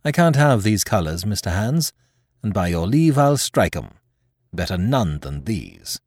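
Man playing a bold young adventurer, animated pitch. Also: measured pace, no room sound, studio quality. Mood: assertive. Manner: ironic, polite, commanding. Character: bold, cheeky young male adventurer turned captain. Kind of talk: storybook character voice in audiobook.

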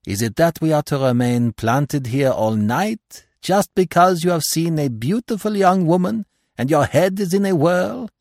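A man voicing an older, self-assured Belgian male detective with a French-speaking Belgian accent and a gently ironic, emphatic, avuncular manner, in an audiobook. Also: medium pitch, big pitch swings, a little slow, no echo, studio quality, pitched above the performer's own voice. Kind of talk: audiobook character voice.

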